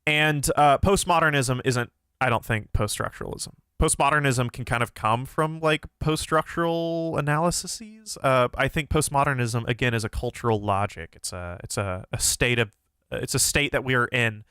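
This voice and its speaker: A man's voice: mid-range voice, moderate pace, sharp tone, smooth, no room sound. Nasal-voiced young man, close and dry. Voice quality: somewhat nasal. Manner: thoughtful, hesitant, many fillers and restarts. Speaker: philosophy livestream host. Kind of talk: casual livestream Q&A, answering chat.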